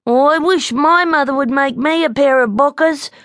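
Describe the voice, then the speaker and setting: A woman's voice: sits high, moderate pace, fairly bright tone, dry recording, clean studio sound, pitched above the performer's own voice. A woman voicing a timid, good little boy koala bear with an anxious, wistful manner in a children's storybook.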